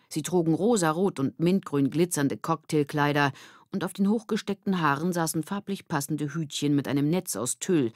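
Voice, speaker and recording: A woman reading a children's audiobook as narrator, with clearly articulated, expressive storytelling. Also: low voice, no echo, clean studio sound. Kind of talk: scripted children's story narration with character voices.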